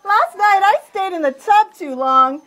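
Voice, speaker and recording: Performer voicing a squeaky, goofy puppet buddy. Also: expressive, a little slow, bright, forceful tone, exaggerated voice. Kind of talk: children's puppet show dialogue.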